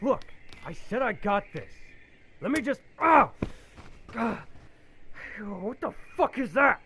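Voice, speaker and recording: A man's voice: very high voice, sing-song, takes it slowly, sharp tone, a little hiss or hum, full character voice. A man playing a cocky, irritable young man in an audio drama, brash and defensive. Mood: cocky, annoyed. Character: cocky, irritable, foul-mouthed youth named Jean.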